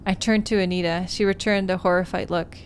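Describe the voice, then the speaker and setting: A woman with a slightly low voice streams a visual novel playthrough. She speaks like an expressive storyteller, stumbling a little as she reads. Some background noise and ambience sits behind her. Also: a little fast. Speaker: game streamer. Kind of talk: livestream playthrough, reading visual novel narration aloud.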